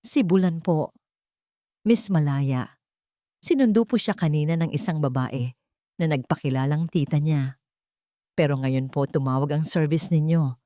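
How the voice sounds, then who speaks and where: A woman's voice: deep voice, unhurried, long pauses, warm tone, dry recording, studio quality. A woman voicing a frightened, tearful schoolteacher in an audio story, with a trembling delivery. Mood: fearful, tearful. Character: frightened, tearful schoolteacher reporting a missing child.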